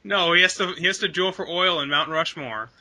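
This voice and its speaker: A man's voice: sits high, brisk, bright, forceful tone. A man co-hosting a casual gaming podcast, laughing easily and moving the talk along. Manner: laughs easily, steers the topic list. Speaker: podcast co-host. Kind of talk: casual chat.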